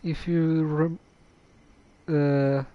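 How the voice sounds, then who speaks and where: A woman streamer giving casual, halting, deliberate commentary on a game. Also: low-pitched, level tone, lo-fi sound.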